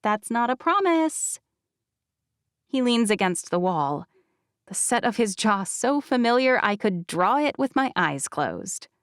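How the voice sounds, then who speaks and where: A woman narrating a first-person novel as an audiobook, with expressive, wry delivery. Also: mid-range voice, a little slow, dry recording, clean studio sound.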